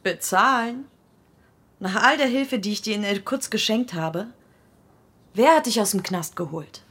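A woman's voice: mid-range voice, animated, unhurried, a bit bright, slightly rough. An actress playing a cool, insistent woman client in a hardboiled radio drama, terse and unflappable. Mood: reproachful, insistent.

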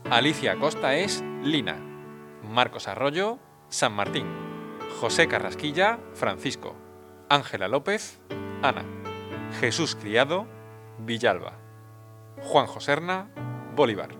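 A man calmly reading the credits of a radio drama as its announcer. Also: mid-range voice, unhurried, pauses often, music in the background.

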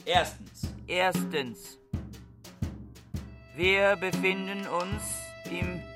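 An actor playing a fanatical bookish man who proclaims himself supreme warlord in a radio play, solemn and commanding in halting phrases. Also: high-pitched, steady tone. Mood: pompous, commanding. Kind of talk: radio play, declaimed manifesto. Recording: loud music over the voice. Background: loud music with drums and guitar.

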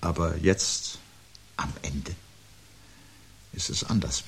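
A man acting a weary, resigned intellectual husband in a 1970s radio play, speaking in a measured, reflective way with a husky voice. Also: very deep voice, exaggerated voice. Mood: subdued, grave. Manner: measured, reflective, pedantic phrasing.

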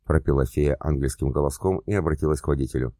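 Very deep, dark-voiced male narrator. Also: fast talker, no room sound, studio quality. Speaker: audiobook narrator. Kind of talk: scripted narration.

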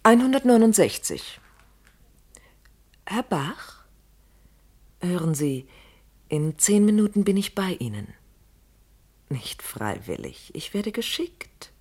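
An actress in a 1970s German radio play, playing a fatalistic, secretive wife in a deliberate, melodramatic way with a husky, breathy voice. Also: female voice, mid-range voice, sing-song, darkish tone, exaggerated voice. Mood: resigned, dramatic.

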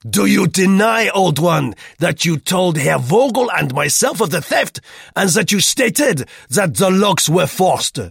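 A man voicing a hot-tempered, rude Frenchman in an audiobook, loud, sneering and aggressive. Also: fairly high pitch, animated, takes it slowly, gravelly, slightly rough, dry recording, studio quality, pitched above the performer's own voice, full character voice. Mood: irritable.

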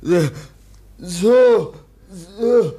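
A man's voice: sits high, expressive, warm tone, breathy edge, heavily acted. An actor playing a timid teenage foundling boy in a 1950 radio play, speaking in broken, stammering, childlike phrases. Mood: halting, excited. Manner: halting, broken, simple childlike speech with stammers. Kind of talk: 1950 German radio drama acting.

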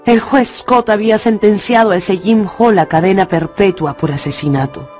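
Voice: medium pitch, normal speed, a little mellow. A narrator telling a story from a script for a radio drama. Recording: music bed behind the voice.

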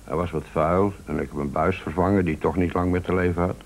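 Een acteur in een Nederlands hoorspel speelt een nuchtere radiomonteur die beleefd, precies en stellig uitleg geeft. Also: male voice, low-pitched, steady tone, fast. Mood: matter-of-fact. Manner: polite, precise, certain. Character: matter-of-fact radio repairman, confidently explaining. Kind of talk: Dutch radio play acting.

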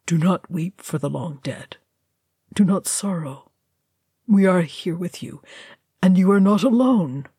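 A grave and noble male dwarf ghost, voiced by a woman reader. The speech is low and very unhurried, solemn and weary, with the measured weight of a sorrowful storybook lord. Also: animated, warm tone, slightly rough, dry recording, clean studio sound, exaggerated voice. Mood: tender sorrow.

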